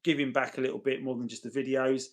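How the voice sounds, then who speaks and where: A man hosts a casual livestream at a middling pitch. He sounds tired and a little gravelly. He talks to his viewers in a chummy, grateful way as he reads and answers their messages. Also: little pitch movement, quick pace. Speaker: livestream host.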